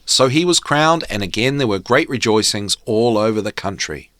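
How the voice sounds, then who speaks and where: Man storyteller with very expressive pitch. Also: moderate pace, no room sound, studio quality. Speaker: storyteller and host. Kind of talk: expressive fairy tale narration read aloud.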